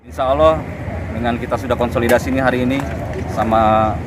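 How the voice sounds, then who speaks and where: A male union representative gives a plain, matter-of-fact account in a statement to camera. He stands outdoors by a road, and loud traffic noise runs under his voice. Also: medium pitch, fairly even intonation, fast.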